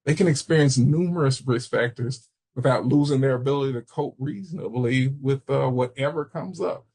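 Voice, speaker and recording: An American man from Chicago giving an informal training webinar, explaining haltingly with personal asides. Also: mid-range voice, a little mellow, slightly rough. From Chicago, United States. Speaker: webinar presenter, substance misuse prevention trainer.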